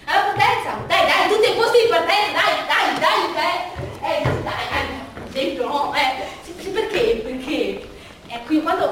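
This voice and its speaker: High-voiced, rambling woman on an echoey stage. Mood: agitated. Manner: halting, repetitive, animated explaining. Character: agitated, rambling woman telling a bittersweet story. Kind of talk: theatrical monologue, colloquial and addressing the audience. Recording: roomy, distant stage recording, faint noise.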